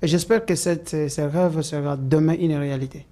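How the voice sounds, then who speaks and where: A man's voice: a little high, fairly even intonation, moderate pace, dark tone. A man answering interview questions, hesitant and full of "euh" fillers and restarts. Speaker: political supporter interviewed as guest.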